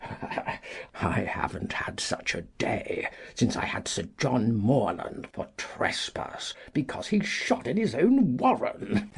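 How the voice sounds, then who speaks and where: Husky, cantankerous old country gentleman. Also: medium pitch, huge pitch swings, slow pace, exaggerated voice. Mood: gloating. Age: older. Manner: pompous, theatrical, indignant. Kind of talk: dramatised classic audiobook acting.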